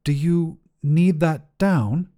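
A man voicing a calm, curious and helpful woman hiker in a storybook narration, with a casual questioning manner. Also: on the high side, takes it slowly, pitched above the performer's own voice.

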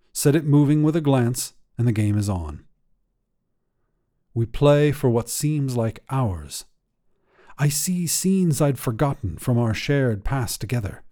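A man hosting a fiction podcast and reading a short story in a measured, low-key first-person voice. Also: dark tone. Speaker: podcast host and narrator. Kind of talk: scripted fiction narration.

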